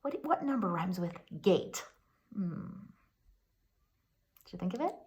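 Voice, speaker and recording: A woman reads a picture book to young children as their teacher would. Her pitch moves up and down in an animated, singsong way. She takes her time and stops to put questions to the listeners. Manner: expressive, singsong, pausing to ask children questions. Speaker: pre-K teacher.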